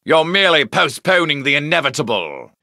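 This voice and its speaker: A man's voice: a little high, sing-song, a little fast, sharp tone, no echo, studio quality, heavily acted. An actor playing a haughty, ruthless supervillain in a video game, sneering and menacing as he hunts his enemy. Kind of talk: video game villain acting.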